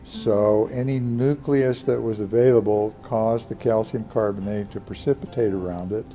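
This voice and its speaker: A man's voice: fairly low pitch, fairly even intonation, moderate pace, dark tone, faint music behind. A man explaining something as an expert, like a professor in an interview.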